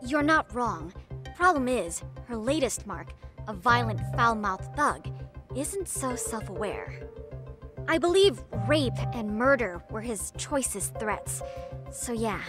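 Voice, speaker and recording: High-pitched, theatrical schoolgirl detective. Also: big pitch swings, slow pace. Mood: serious. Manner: pompous, precise, dramatic phrasing. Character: theatrical, self-important teenage schoolgirl, detective club leader. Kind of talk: scripted video game dub acting. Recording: music under the dialogue. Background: music.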